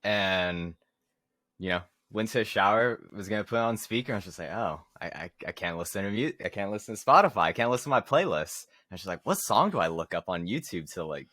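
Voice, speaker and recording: A man's voice: lowish voice, expressive, quick pace, bright, forceful tone, no room sound. A young man co-hosting a podcast, chatting casually about himself in slangy, self-mocking gamer talk. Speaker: podcast co-host.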